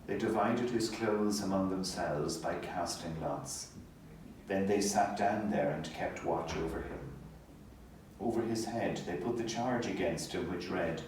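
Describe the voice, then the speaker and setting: A man reading the Gospel solemnly as narrator, in a measured, formal manner. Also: low voice, a little mellow. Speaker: clergy reader, Gospel narrator. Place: hospital chapel. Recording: echoey, distant mic, faint ambient noise.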